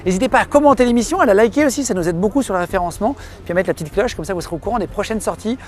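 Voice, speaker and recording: A man hosting an interview podcast, quick and direct, who piles up short questions. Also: high voice, darkish tone. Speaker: podcast host. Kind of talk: interview host and channel intro.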